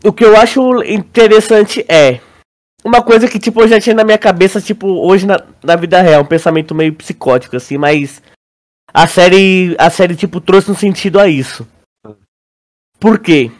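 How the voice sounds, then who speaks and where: A Brazilian man with a higher-pitched voice talks as a podcast co-host. His style is casual and full of slang, and he rambles as he works through his theories. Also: normal speed. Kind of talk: casual chat, theorizing about a series.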